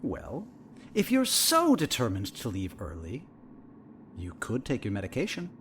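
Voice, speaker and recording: Audio drama therapist, played by a man: measured, clinical, quietly sinister, very wide pitch swings, faint noise behind. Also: huge pitch swings. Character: calm, probing, sinister male therapist, coolly manipulative.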